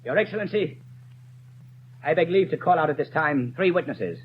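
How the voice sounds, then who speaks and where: A stern prosecutor declaims his accusations with theatrical sweep, as a man plays him. The voice sits high and moves a lot. It sounds dull and lo-fi, from an old radio broadcast. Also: expressive, moderate pace, very noisy, lightly acted. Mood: accusing. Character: stern courtroom prosecutor, man, middle-aged. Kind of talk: 1930s radio drama acting.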